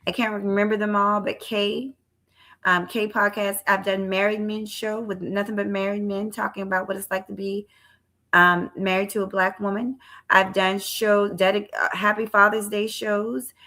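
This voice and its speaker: A woman's voice: medium pitch, little pitch movement, normal speed, sharp tone. A woman in her forties hosting a live stream, chatting casually with frequent restarts and repeated words. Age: 40s. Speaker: live-stream show host.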